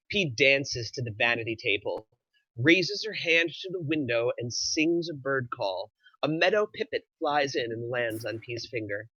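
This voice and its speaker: A man with a bright, forceful voice at medium pitch reads scripted narration. He delivers screenplay action lines aloud, and the recording is dry and slightly dull. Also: no echo.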